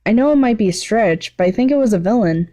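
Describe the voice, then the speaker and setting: Story reading: earnest, nervous teenage boy hero, higher-pitched, stammery played by a woman. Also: expressive, quick pace, dark tone, natural voice. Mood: anxious. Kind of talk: fan fiction read aloud.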